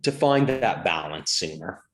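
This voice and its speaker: A man with a somewhat low voice talks on a casual morning show. He speaks thoughtfully and hesitantly, circling back and restarting as he works through his ideas. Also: lively intonation, measured pace. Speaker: co-host.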